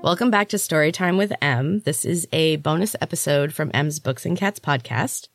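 A woman's voice: low voice, moderate pace, faint music behind. A woman hosting a story podcast and reading fiction aloud as a narrator. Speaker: author and podcast host. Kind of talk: storytelling narration of own novel.

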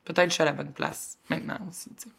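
A woman with a Québécois accent co-hosting a podcast, chatting in a lively, expressive, colloquial way and laughing often. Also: deep voice, racing, vocal fry, a little hiss or hum. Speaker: podcast co-host. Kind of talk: casual chat.

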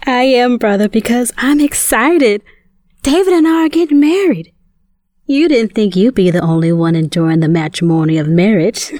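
Chatty, excitable sister, dry sound woman acting. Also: a little high, normal speed, dry recording, close to an everyday voice. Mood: excited. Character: excitable, supportive younger woman, newly engaged sister. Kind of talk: audio drama acting.

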